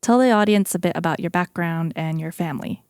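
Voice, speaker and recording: Mellow-voiced woman podcast host. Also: on the low side, a little fast, clear, resonant tone, no room sound, studio quality. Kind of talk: scripted narration.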